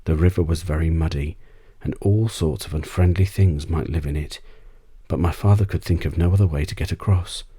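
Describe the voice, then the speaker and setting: Deep voiced guy reading an asmr bedtime audiobook, husky, super dry close mic. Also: a little fast, dark tone, dry recording. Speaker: audiobook narrator. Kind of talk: scripted bedtime story narration, ASMR.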